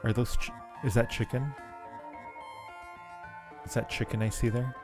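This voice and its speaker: A man's voice: medium pitch, fairly even intonation, a little slow. A man hosting a livestream in casual chat, playful and fond of repeating his phrases, with faint music behind him. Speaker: livestream host.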